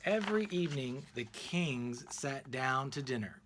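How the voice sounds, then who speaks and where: Man, animated read-aloud narration, mid-pitched, deliberate storytelling pauses, faint noise behind. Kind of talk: expressive children's picture book read-aloud.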